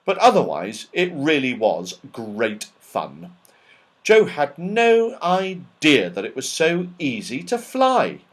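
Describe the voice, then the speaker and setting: A man narrating a children's story as an audiobook reader, in an expressive, dramatic style. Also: on the high side, takes it slowly.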